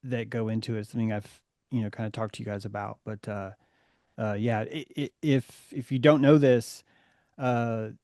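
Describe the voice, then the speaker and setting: A man hosting a group call, speaking candidly and reflectively with halting restarts. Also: fairly low pitch, fairly even intonation, a little mellow, no echo, clean studio sound. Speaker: host. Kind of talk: casual chat.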